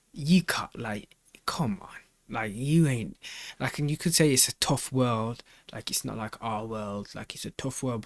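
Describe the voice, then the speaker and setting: A man speaks in a casual, slangy way as he gives off-the-cuff reaction commentary, circling back to repeat and rephrase his points. His pitch is average and the sound is very dry, with almost no room in it. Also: no room sound. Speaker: reaction video host.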